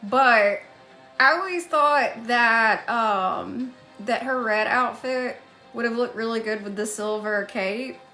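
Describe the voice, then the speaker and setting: Woman streamer chatting w her viewers, kinda high voice, low music in the back. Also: takes it slowly, sharp tone. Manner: chatty, thanks viewers by name between stories. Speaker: live streamer. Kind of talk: casual live-stream chat with viewers.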